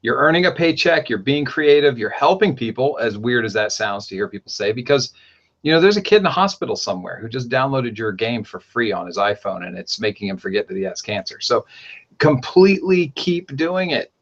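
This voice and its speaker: A man of middle pitch hosting a casual podcast, rambling along with lots of restarts and sudden lively jumps in emphasis. The sound is a little dull and lo-fi, like a modest home setup. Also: fairly quick. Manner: rambling, animated, self-correcting. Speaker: podcast host.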